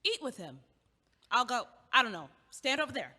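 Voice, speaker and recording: An actress as a blunt, teasing female friend in an audio play. Her voice is sharp and raspy, her remarks short and wry, in a reverberant hall. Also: fairly high pitch, big pitch swings, normal speed, heavily acted. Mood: pushy, teasing. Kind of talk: audio play acting, comedic dialogue. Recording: echoey hallway-like long reverb.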